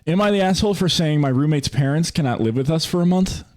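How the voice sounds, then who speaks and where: A man's voice: fairly high pitch, fast talker, darkish tone. A man in his twenties co-hosting a podcast, talking in a casual, slangy way with some swearing. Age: 20s. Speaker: podcast co-host. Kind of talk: casual podcast chat with friends.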